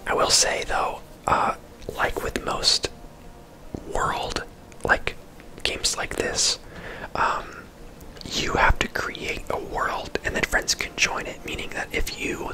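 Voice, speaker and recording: An ASMR video host whispering casual, rambling gameplay commentary in a breathy, unvoiced voice. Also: poor audio. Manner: soft-spoken, rambling, casual.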